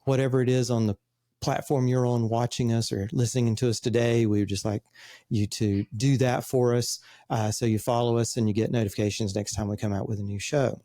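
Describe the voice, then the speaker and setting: Mellow-voiced man hosting an interview. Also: mid-range voice, level tone, fairly quick, no room sound, clean studio sound. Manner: reads introduction, then chats loosely with fillers. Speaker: podcast host. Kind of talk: interview host.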